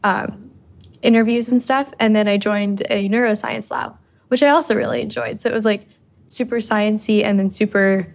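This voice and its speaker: A woman's voice: mid-range voice, steady tone, normal speed, creaky. Chatty young woman from Costa Rica. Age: young adult. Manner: chatty, lots of likes and ums. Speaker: undergraduate student guest. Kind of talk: casual interview.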